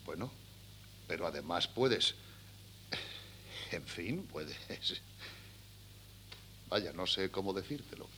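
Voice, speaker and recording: Blustering proud father, animated, low-pitched. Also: takes it slowly, long pauses, heavily acted. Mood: embarrassed. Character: proud, blustering middle-aged British father, easily embarrassed. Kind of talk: 1970s radio theatre acting. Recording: hissy, humming old cassette recording.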